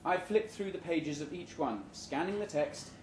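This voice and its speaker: A man reading a short story aloud at a live event with a deadpan, measured comic delivery. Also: on the high side. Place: indoor room at a live literary event. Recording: roomy live venue recording with background noise.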